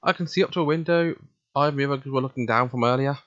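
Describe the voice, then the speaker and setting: A man's voice: mid-range voice, fast talker, fairly bright tone, muffled recording. A man reading a visual novel aloud in a casual, conversational way, acting out dialogue as he goes. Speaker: let's play reader voicing the protagonist.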